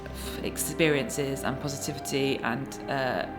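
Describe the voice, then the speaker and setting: Female interviewee, very deep voice, reflective and halting, music underneath. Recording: music playing behind the voice. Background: background music.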